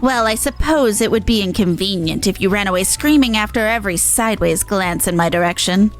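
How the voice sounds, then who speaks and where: A woman voicing a pompous, gleefully macabre demon showman from a game, with a grandiose, drawn-out delivery. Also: medium pitch, faint music behind, close to an everyday voice. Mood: wry, grand. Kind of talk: voiced reading of game dialogue.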